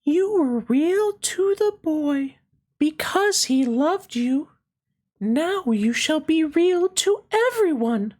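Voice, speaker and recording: Very high, gentle storybook fairy. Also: female voice, animated, takes it slowly. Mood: gentle, reassuring. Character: gentle, kindly nursery magic fairy, female. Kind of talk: children's storybook character voice.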